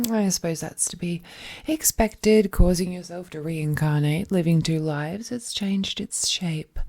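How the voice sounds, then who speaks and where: A woman playing an ancient, all-powerful female captor, possessive and lovesick, in an intimate villainous audio roleplay with a hushed, menacingly tender delivery. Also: medium pitch, creaky, husky, heavily acted. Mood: curious, menacing. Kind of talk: scripted audio roleplay, intimate dramatic monologue.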